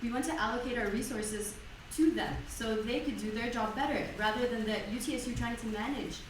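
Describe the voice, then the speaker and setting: A woman's voice: fairly high pitch, fairly quick, background noise. A young woman from Egypt, a student election candidate, answering in a chatty way with tag questions. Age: young adult. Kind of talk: debate answer. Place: university lecture hall. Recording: echoey lecture hall, distant mic.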